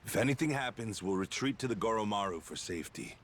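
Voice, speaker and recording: An actor playing a level-headed, practical adventurer companion in a video game, speaking in a measured way. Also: male voice, lowish voice, expressive, fairly bright tone, slight background noise. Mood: calm, resolved.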